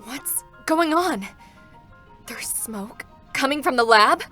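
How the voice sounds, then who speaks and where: A woman's voice: high-pitched, animated, slow pace, pushed and bright, soft background music, heavily acted. An actress playing an earnest, distraught young female combat android in a dramatic game-style stage reading. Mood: alarmed.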